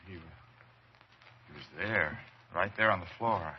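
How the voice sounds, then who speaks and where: A man playing an obsessive, excitable ghost-hunting doctor in a radio horror drama, speaking in a theatrical, halting, fervent way. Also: deep voice. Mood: shaken. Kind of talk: old time radio horror acting. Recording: dull, hissy old radio recording.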